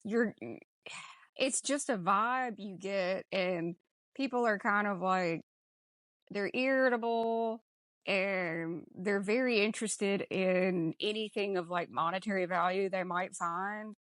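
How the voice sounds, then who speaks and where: A woman's voice: mid-range voice, slow pace, a bit bright, dry recording. A woman hosting a podcast, talking casually through a film discussion. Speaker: podcast host.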